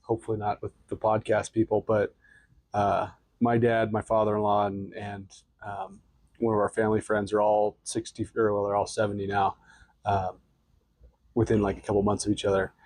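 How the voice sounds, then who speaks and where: A man's voice: on the low side, little pitch movement, moderate pace, a little mellow, dry recording. A man in his late thirties co-hosting a podcast, joking and teasing in casual chat, laughing often. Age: 30s. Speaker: podcast co-host.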